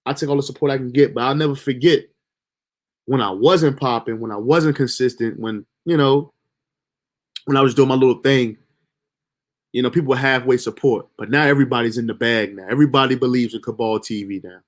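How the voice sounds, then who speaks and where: Young dude on a livestream, loose slangy talk, swears a lot, middle pitch, hypes himself up. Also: male voice, fairly quick. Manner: loose, slangy, motivational self-talk with profanity. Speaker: livestream host. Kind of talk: casual livestream monologue.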